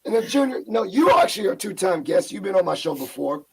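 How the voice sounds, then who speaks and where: Upbeat raspy-voiced man host. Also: high-pitched, sing-song, quick pace, darkish tone, low-quality recording. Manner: upbeat, laughing, rambling. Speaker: podcast host. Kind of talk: casual chat.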